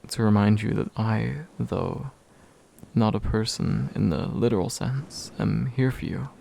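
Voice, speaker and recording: Man as a gentle, slow-talking comforting demon. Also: lowish voice, creaky, full character voice. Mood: tender, reassuring. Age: young adult. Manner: soothing, hushed, unhurried, tender. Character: gentle, reassuring, slightly bashful male demon of envy. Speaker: ASMR roleplay voice actor. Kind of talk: soft, intimate ASMR comfort roleplay.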